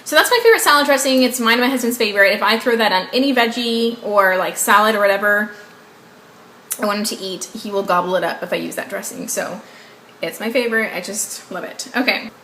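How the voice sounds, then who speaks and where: A woman's voice: mid-range voice, brisk, sharp tone. A woman in her twenties from the Southern US, with a Southern accent and English as her second language, chatting casually in a rambling, upbeat way to viewers. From Southern United States. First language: not English. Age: 20s. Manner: rambling, upbeat, often asks viewers to guess. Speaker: beauty vlogger. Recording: echoey room with faint background noise.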